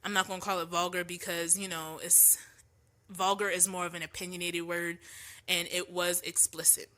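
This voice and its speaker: A woman with a lowish, bright, forward voice, a little stuffy from allergies. She talks in a loose, slangy, opinionated way, with plenty of ums as she goes. Recorded at home for her podcast. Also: level tone, moderate pace. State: congested from allergies. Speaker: podcast host. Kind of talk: casual opinion monologue.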